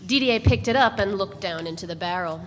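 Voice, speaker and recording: A woman's voice: medium pitch, a little fast. A woman giving a literary narration as part of a dramatic reading of a novel. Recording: noisy, reverberant hall recording.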